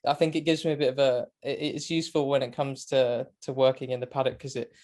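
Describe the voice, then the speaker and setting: A man's voice: mid-range voice, little pitch movement, fast, darkish tone. Talkative young British man. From Great Britain. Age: 20s. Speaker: guest, motorcycle racing commentator. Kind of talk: casual chat.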